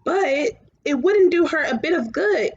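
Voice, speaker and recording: Woman as a tired young boy hero, storybook, stubborn, high animated voice, muffled recording. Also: a little slow. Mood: stubborn, weary. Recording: muffled, clearly noisy home recording.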